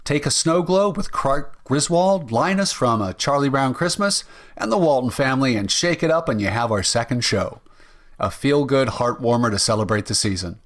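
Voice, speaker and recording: A man's voice: mid-range voice, expressive, normal speed. A man reading a scripted show outro as the announcer.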